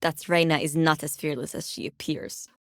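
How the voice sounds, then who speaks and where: A sleepless game agent who stalks people's nightmares. Her voice sits low, and she speaks with brooding, measured menace and a wry edge. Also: fairly even intonation. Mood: sly. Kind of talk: video game character voice lines.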